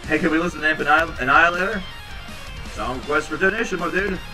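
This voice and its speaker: A man in his thirties hosting a home livestream, chatting casually with viewers in a rambling, low-key, tired way. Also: quick pace, pushed and bright, distant mic, faint music behind. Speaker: livestream host reading chat. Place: small home room indoors. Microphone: headset.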